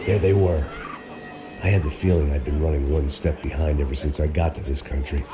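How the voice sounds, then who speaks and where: An actor with an American accent playing a cynical, hard-drinking middle-aged action hero, narrating in a world-weary, sardonic noir style. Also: male voice, very deep voice, steady tone, a little fast. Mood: bitter, weary. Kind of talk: hardboiled noir game narration.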